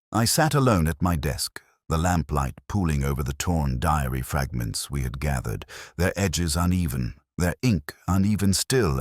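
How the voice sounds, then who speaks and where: A man playing a very deep, brooding detective narrator. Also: no echo, studio quality, exaggerated voice. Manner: measured, reflective, solemn. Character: brooding, melancholy male police inspector narrating his notebook. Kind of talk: literary first-person scripted narration.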